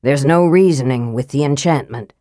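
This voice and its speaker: A woman voicing a cold, sardonic young male mage who speaks dryly, flatly and curtly in a lowered voice. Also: normal speed, no room sound. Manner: dry, flat and clipped.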